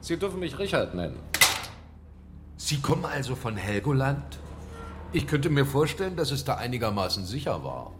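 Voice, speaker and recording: A man's voice: mid-range voice, sing-song, normal speed, a little hiss or hum, lightly acted. An actor playing a sardonic, unhinged oceanologist in a radio horror drama, mock-polite and menacing as he taunts people. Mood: mock-polite, wary. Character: sardonic, unhinged male oceanologist holding strangers at gunpoint. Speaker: stranded researcher and captor.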